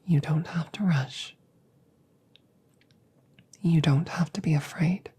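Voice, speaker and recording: A woman playing a calm, reassuring damaged female android in an ASMR audio roleplay, speaking in soft, breathy whispers with measured, formal phrasing. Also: low-pitched, exaggerated voice. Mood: soothing, reassuring. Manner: slow, measured, precise formal phrasing with pauses.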